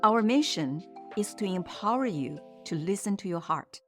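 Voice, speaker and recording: A woman's voice: mid-range voice, lively intonation, moderate pace, soft background music. A woman voicing an inspirational scripted intro for a podcast. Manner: inspirational and declamatory. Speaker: show intro voice. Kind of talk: scripted promo narration.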